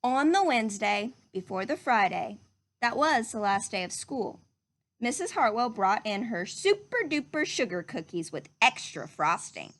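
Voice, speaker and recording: A woman's voice: on the high side, big pitch swings, a little slow, fairly bright tone. A woman reading a picture book aloud to young children like a teacher, speaking to them directly in simple phrases.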